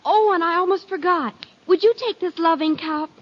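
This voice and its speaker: A woman's voice: very high-pitched, normal speed, dull audio. A woman playing a chirpy, breezy teenage schoolgirl in 1950s radio comedy style. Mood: cheerful, hurried. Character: chirpy, eager teenage girl, principal's daughter. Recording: very thin, band-limited 1950 radio recording.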